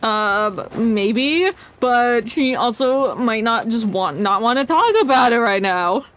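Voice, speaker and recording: A woman's voice: fairly high pitch, takes it slowly, a bit bright. A woman livestreaming a game, giving casual, playful, sarcastic commentary with some swearing. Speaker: game streamer.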